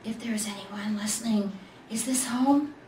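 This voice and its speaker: A woman's voice: mid-range voice, moderate pace, dark tone, natural voice. A woman voicing a small, naive young toy bear in a storybook reading, unsure and full of questions. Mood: timid, hopeful. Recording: roomy, noisy, distant library mic.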